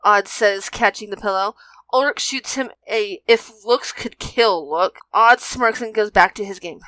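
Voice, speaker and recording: A woman's voice: medium pitch, a little slow, pushed and bright, dry recording, low-quality recording. A woman narrating a fan fiction story as a scripted reading.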